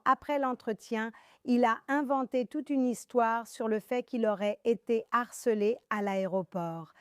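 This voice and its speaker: A woman born in Iran hosting a panel talk show, animated and sarcastic. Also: a little high, clean tone, dry recording, studio quality. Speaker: show host.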